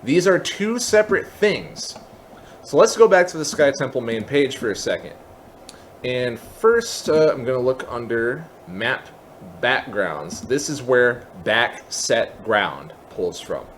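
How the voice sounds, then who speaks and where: A man hosting a software tutorial, explaining step by step in a methodical way. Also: medium pitch, animated, slow pace, a little hiss or hum. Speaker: tutorial host.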